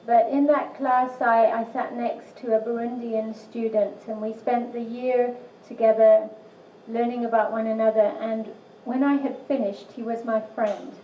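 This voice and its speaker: A woman in her sixties who teaches at a university, giving an earnest, somewhat halting talk. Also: a little high, steady tone, slight background noise. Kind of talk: presentation to a church audience. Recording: distant, echoey, muffled room recording.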